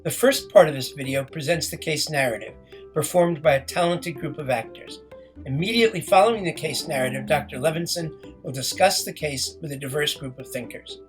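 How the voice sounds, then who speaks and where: A man's voice: on the high side, brisk, soft background music. A man reading a scripted podcast introduction as a presenter.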